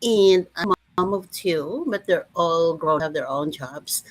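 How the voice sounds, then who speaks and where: A hesitant woman in her fifties with a fairly low voice. She keeps restarting sentences as she speaks. The sound is dry and close. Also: measured pace, no echo, low-quality recording. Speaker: panelist.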